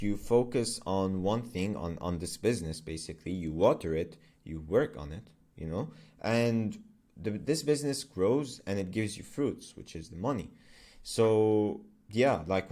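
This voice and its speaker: Low-voiced young man, Arabic native speaker. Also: darkish tone. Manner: loose and conversational, many fillers and self-corrections. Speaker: agency founder vlogger. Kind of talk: casual explainer vlog.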